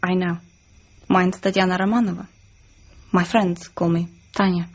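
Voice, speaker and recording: A woman's voice: on the low side, little pitch movement, moderate pace, husky. An actress playing a coy, demure young Russian woman spy in a radio drama. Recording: dull, muffled sound.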